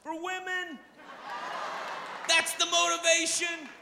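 High-pitched male stand-up comic, brash. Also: level tone, slow pace, creaky. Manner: brash, swearing, emphatic delivery that often rises to shouting. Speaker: stand-up comedian. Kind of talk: stand-up comedy routine. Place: large theater stage before an audience. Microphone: handheld stage microphone. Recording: echoey hall with noisy crowd. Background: audience noise and laughter.

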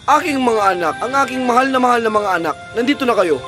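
A loving, weary cartoon father, voiced by a narrator, earnest and tender. The intonation moves widely, with clear music behind it. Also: medium pitch, animated, quick pace, voice pushed up, natural voice. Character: loving, weary woodcutter father, adult man. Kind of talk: fairy tale cartoon dialogue.

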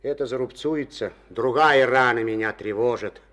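An actor playing a proud, wounded middle-aged farm chairman and war veteran who talks in a gruff, folksy way, in a Soviet radio drama. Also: medium pitch, moderate pace, a bit bright, close to an everyday voice. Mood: brooding. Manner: gruff and folksy, mocking then grave.

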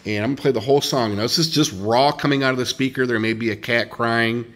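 A man's voice: medium pitch, steady tone, fast talker, a bit bright. A man in his fifties narrating a casual home vlog off the cuff, recorded indoors on a handheld phone. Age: 50s. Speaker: DIY video host.

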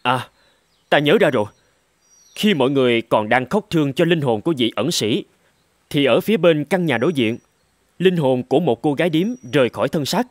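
A man's voice: on the high side, animated, a little fast, no echo, lightly acted. A man voicing a proud, dutiful angel gatekeeper in a fairy-tale cartoon, formal and self-assured. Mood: recalling. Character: proud, dutiful winged male angel guarding heaven's gate.

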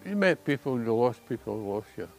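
A man in his nineties, a war veteran, haltingly recalling old friends in an interview. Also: mid-range voice, big pitch swings, a little mellow. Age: 90s. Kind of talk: interview reminiscence. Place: outdoors among greenery.

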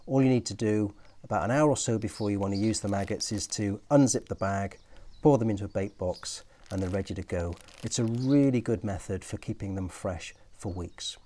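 A man's voice: lowish voice, mellow. A man in his sixties explaining a fishing technique in a friendly, practical way, recorded outdoors in a garden with a lapel mic. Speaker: angling presenter. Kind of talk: casual how-to explanation.

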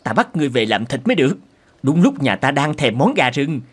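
Swooping, blustering cartoon hunter. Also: male voice, medium pitch, very animated, brisk, slightly rough. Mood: gloating. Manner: theatrical, blustering, mocking. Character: burly middle-aged male hunter, gloating, mocking, suspicious. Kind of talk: cartoon character acting.

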